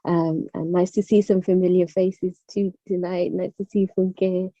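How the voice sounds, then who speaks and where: Dark, mellow young woman, dull call audio. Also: fairly low pitch, little pitch movement, a little slow, dry recording. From born in Zimbabwe, moved to the UK aged about nine. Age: young adult. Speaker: guest speaker, former pageant contestant. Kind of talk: casual motivational talk. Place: online video call.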